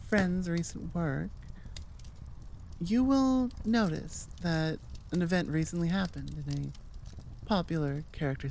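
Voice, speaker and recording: Slow-talking, gravelly low-voiced woman. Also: dark tone, slight background noise. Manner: halting, thinking aloud, self-aware asides. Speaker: audio roleplay creator and host. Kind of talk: casual rambling outro chat to listeners.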